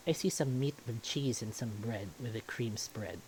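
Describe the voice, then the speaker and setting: A man's voice: medium pitch, moderate pace, darkish tone, a little hiss or hum, low-quality recording. A man reading a visual novel aloud as first-person story narration.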